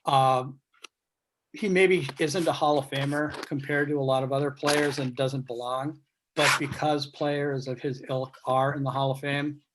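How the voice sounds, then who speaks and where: A man talking casually as a guest on a video call, reflective and measured, often posing questions. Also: mid-range voice, slight background noise, rough recording. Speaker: guest speaker, baseball writer. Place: remote video meeting. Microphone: video call microphone.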